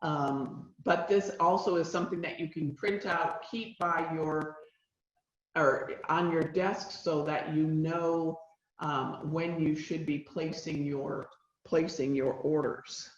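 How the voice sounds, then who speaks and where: A woman trainer presenting a school nutrition webinar, with a loud voice that carries and many fillers. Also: low-pitched, steady tone, slow pace. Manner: chatty, uses many fillers. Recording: roomy, dull lo-fi sound.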